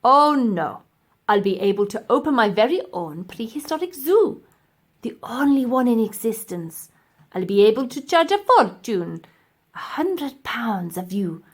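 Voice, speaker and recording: A woman acts out a cold, gloating old professor from a children's storybook. The delivery is pompous and emphatic, slowly drawn out, with big swings in pitch as each point is pressed home. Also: sing-song. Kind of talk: children's audiobook character acting.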